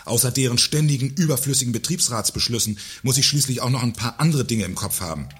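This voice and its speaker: An actor playing a pompous, irritable, controlling company director in a satirical radio play. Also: male voice, medium pitch, fast talker, husky, close to an everyday voice. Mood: indignant. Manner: pompous, self-important. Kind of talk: German radio play acting.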